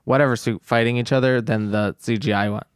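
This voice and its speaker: A man's voice: mid-range voice, fairly even intonation, quick pace, smooth, no echo. A man co-hosting a casual group chat, laid-back and offhand, laughing easily. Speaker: podcast co-host.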